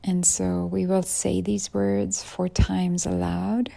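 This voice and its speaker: A woman guiding a yoga nidra meditation as a teacher, with soft, breathy phrase endings and unhurried pauses. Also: lowish voice, flat delivery. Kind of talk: guided meditation.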